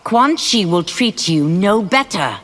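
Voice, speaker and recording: A woman playing an earnest female warrior who speaks in a measured, reproachful way. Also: medium pitch, animated, in a large hall, over music.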